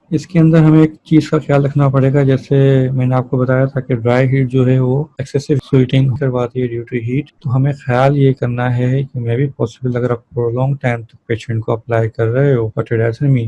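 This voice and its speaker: A man's voice: mid-range voice, dark tone, low-quality recording. A man lecturing in an explanatory way, using everyday examples and answering his own questions as a teacher would. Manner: didactic, asks and answers own questions, switches between Hindi-Urdu and English terms. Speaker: physiotherapy lecturer.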